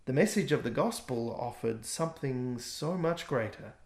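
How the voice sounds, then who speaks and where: A man reading aloud his own rhyming picture book in a gentle, expressive way. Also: medium pitch, moderate pace. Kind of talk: rhyming storybook narration. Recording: echoey room.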